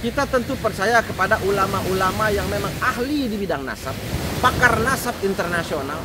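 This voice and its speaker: A man preaching a sermon to a congregation. He speaks earnestly, rising louder and higher on key words and then dropping low. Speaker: Islamic preacher. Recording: loud steady background noise.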